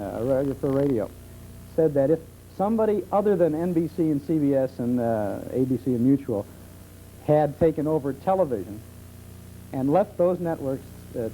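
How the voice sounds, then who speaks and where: Middle-aged man, very mellow tone, explanatory, hesitant pauses, talk show guest, faint hum of muffled 1970s tape. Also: mid-range voice. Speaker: talk show guest, old-time radio enthusiast. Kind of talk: conversational interview answers. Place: television studio.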